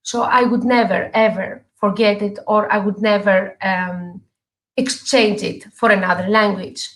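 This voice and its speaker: A middle-aged woman from Greece, a native Greek speaker and English teacher, giving an earnest live online talk with many pauses and fillers. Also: mid-range voice, fairly even intonation, fairly bright tone, rough recording. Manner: earnest, halting with frequent fillers.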